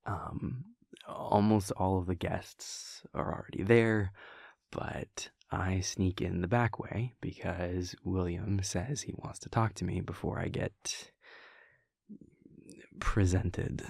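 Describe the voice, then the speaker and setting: A young man playing a laid-back, wry vampire prince boyfriend in an intimate audio roleplay, telling a story in a hushed, halting, amused way. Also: sits low, steady tone, gravelly, no room sound, clean studio sound, full character voice. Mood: smug. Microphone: close, dry studio microphone.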